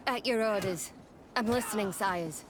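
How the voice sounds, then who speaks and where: A woman's voice: mid-range voice, moderate pace, close to an everyday voice. An actress playing a disciplined female military instructor in a video game, formal and respectful. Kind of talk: video game cutscene acting. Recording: outdoor ambient noise throughout.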